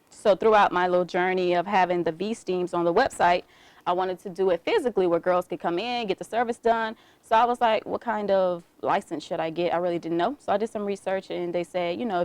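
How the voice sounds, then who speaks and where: A woman's voice: lowish voice, dry recording. Chatty woman from Miami, dry sound. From Miami, South Florida. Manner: relaxed, chatty, lots of fillers. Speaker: guest, esthetician. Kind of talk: casual interview chat.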